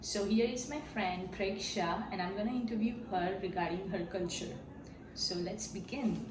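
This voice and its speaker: A woman's voice: medium pitch, normal speed. A woman interviewing a friend for a class assignment, chatty and using frequent fillers. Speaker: student interviewer. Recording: echoey room, from a distance, noisy.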